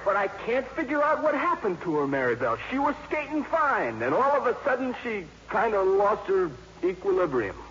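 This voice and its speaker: A man's voice: high voice, big pitch swings, normal speed, hardly any pauses, noisy background. A man playing a casual, slangy, easily puzzled teenage boy in a 1950s radio comedy. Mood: puzzled concern. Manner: offhand, slangy. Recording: dull 1950s radio recording with music.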